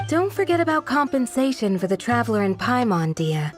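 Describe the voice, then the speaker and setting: An actress playing a dutiful, composed female village guardian in a video game dub, speaking in a measured, proper and earnest way. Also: on the high side, big pitch swings, faint music behind.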